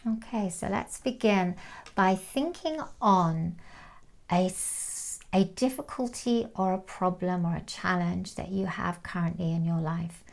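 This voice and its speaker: A woman guides a meditation very slowly, leaving long pauses between phrases. Her voice sits slightly low and has a marked creak. She sounds calm and soothing, letting the ends of phrases fall away softly. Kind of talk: guided meditation, gentle instruction.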